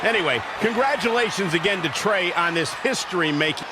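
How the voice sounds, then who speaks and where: A man playing an excited wrestling play-by-play announcer, calling the action in an emphatic announcer's delivery. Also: high voice, fairly quick. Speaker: lead wrestling play-by-play commentator. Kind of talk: pro wrestling commentary. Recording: loud crowd and slamming arena noise.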